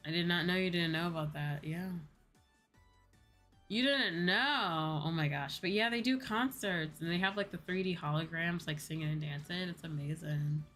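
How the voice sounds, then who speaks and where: Low-pitched woman, chatting over music. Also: normal speed, a bit bright. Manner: rambling, conversational, casual swearing. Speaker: VTuber livestreamer. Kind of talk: casual chat with livestream viewers. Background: background music.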